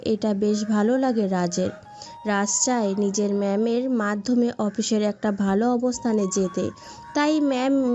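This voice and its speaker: A woman's voice: fairly high pitch, mellow. A woman narrating a scripted romantic story as its storyteller. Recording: dull lo-fi sound, background music.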